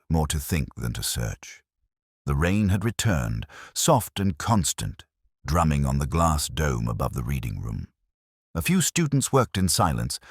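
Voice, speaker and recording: A man reading a scripted first-person detective story in a measured, brooding, literary manner. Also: a bass voice, husky, no echo, studio quality. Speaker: narrator.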